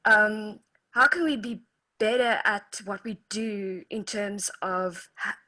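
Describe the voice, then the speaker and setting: A woman on a video call asking a long question hesitantly, with many pauses and restarts. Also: medium pitch, steady tone, pushed and bright. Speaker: course participant.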